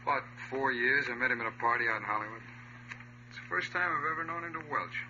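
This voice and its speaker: An American man playing a distracted, defensive tavern owner and gambler, curt and excitable, in old radio drama style. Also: mid-range voice, fast talker. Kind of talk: 1950s radio drama acting. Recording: dull, very noisy old radio recording.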